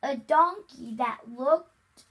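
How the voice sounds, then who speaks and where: Casting: child reader, high voice, very slow read-aloud, stumbles on words. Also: fairly bright tone, slightly rough. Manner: halting, stumbles over words. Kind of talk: reading a children's book aloud.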